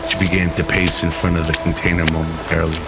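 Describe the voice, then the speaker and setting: A man narrating a scripted radio drama in a measured, solemn storytelling manner. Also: low voice, level tone, vocal fry, very noisy.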